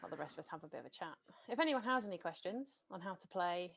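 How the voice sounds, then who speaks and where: A woman hosting a game on a video call, chatty and organising, her voice a bit hoarse from talking all day. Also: lowish voice, animated, racing. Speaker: game master and host. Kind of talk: casual chat on a video call.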